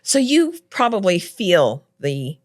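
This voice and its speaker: A middle-aged woman talking as a guest in a casual interview, loud and animated, laughing often. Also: fairly low pitch, slow.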